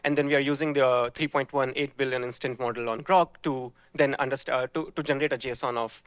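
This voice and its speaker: A man's voice: mid-range voice, fairly even intonation, fairly quick, fairly bright tone, clear, resonant tone, no echo. A man presenting his team's hackathon project, explaining the technical pipeline step by step and often restarting his sentences.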